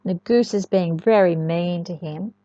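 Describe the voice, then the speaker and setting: A woman reading a storybook to young children in an expressive, sing-song way, often chatting and asking the listener questions. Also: fairly low pitch, a little slow. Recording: echoey room, dull lo-fi sound.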